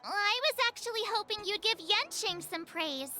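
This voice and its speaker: Very high girlish voice, perky cheeky adventurer, bright, playful, anime game, background music. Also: lively intonation, normal speed, exaggerated voice. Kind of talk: anime-style game voice acting.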